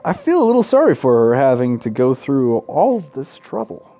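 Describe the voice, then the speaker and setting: A man's voice: medium pitch, big pitch swings, normal speed, warm tone, highs cut off. A man hosting a gaming video, reading visual novel text aloud and adding casual, irreverent commentary. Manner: irreverent, sweary heckling between read passages.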